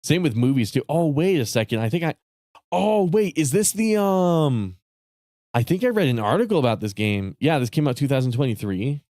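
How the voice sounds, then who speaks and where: A man chatting casually with viewers on a live stream, rambling and swearing with lots of fillers, his voice starting to go raspy. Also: mid-range voice, animated, normal speed, darkish tone, no room sound, studio quality. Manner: rambling, profane, many fillers and restarts. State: voice getting raspy.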